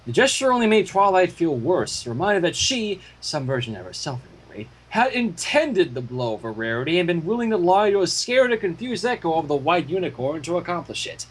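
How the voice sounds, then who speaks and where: High-pitched, husky man narrating dramatically. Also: sing-song, brisk, few pauses, poor audio. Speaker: fan fiction reader. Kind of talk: dramatic scripted story narration.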